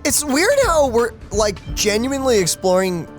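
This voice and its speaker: A woman's voice: fairly high pitch, expressive, normal speed. A woman giving casual commentary as the co-host of a let's play. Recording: game music behind voice. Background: video game music.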